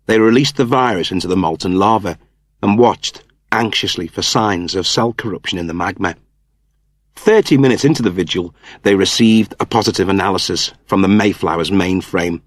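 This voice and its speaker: A man's voice: deep voice, moderate pace, no room sound, studio quality. A man giving a scripted narration of comic science fiction as an audiobook narrator.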